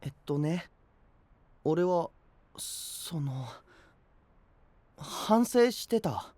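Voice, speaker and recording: 海の国を守る若い青年を演じる男性声優。柔らかく内省的で、真摯な話し方。. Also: male voice, a little high, animated, very slow, dry recording. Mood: pensive. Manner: soft, introspective, earnest. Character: gentle young male prince of a sea kingdom. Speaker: voice actor. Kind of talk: anime voice drama.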